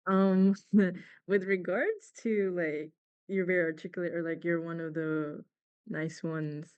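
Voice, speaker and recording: A woman's voice: on the low side, steady tone, takes it slowly, smooth, narrow, tinny audio. A woman student speaking casually and a little hesitantly on a video call, sharing personal experience. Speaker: student participant.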